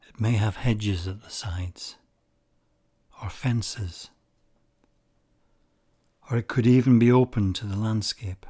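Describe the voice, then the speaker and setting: A man guiding a meditation, speaking softly and calmly, some phrases nearly whispered. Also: on the low side. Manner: soft-spoken, calm and unhurried, with breathy near-whispered phrases. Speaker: meditation guide. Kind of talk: slow guided meditation.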